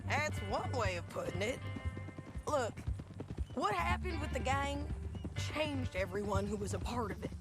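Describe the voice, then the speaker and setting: A blunt bounty hunter. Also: female voice, fairly high pitch, animated, measured pace, pauses often. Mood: gruff. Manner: dry, clipped and matter-of-fact. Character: hardened frontier woman bounty hunter, blunt and self-reliant. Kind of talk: video game cutscene acting. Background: game music and horse hooves.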